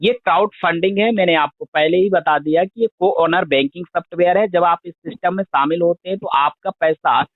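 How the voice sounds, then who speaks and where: A man's voice: sits high, normal speed, hardly any pauses, no room sound. A man giving an insistent, step-by-step sales explanation over a phone call, repeating points and addressing the listener as sir. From India. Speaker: digital wallet scheme promoter making a sales call. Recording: tinny narrow-band phone line.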